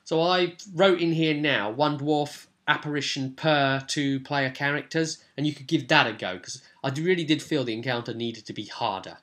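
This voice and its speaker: A man's voice: medium pitch, moderate pace, few pauses, fairly bright tone. A British man giving casual, unscripted commentary on a tabletop role-playing session as game master, in a rambling, colloquial way.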